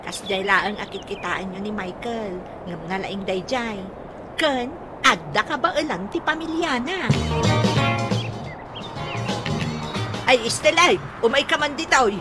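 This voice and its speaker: A woman's voice: fairly high pitch, moderate pace, lightly acted. A woman acting a middle-aged, nagging mother who scolds in a comic radio drama, with an Ilocano accent. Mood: nosy, chiding. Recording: noisy radio drama recording.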